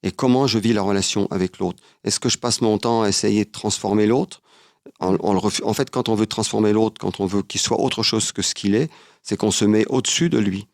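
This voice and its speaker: A man answering as an interview guest, earnest and philosophical, often halting and repeating his words. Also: on the low side, fairly even intonation, creaky, dry recording, clean studio sound. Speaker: interview guest, travel writer. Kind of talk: reflective conversational interview.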